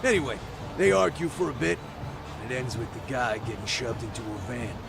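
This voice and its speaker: Actor as cocky gravel-voiced young gang thug. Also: male voice, medium pitch, brisk, over music, close to an everyday voice. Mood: boastful, offhand. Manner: brash, slangy, swaggering. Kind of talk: English video game dub acting.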